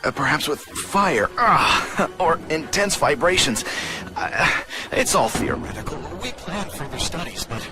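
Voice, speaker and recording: Shaken, halting scientist, animated pitch. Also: male voice, over music. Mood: halting, grave. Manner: halting, hesitant. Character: shaken, defensive male corporate scientist. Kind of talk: video game voice acting.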